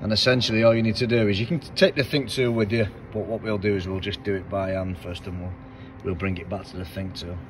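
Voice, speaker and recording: A man's voice: on the low side, fairly even intonation, fast, hardly any pauses, noisy background. A British man in his forties, a car mechanic talking casually and bluntly to his phone camera in a workshop. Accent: British English. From United Kingdom. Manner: blunt, chatty, rambling with fillers. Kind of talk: casual vlog chat. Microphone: phone held at arm's length.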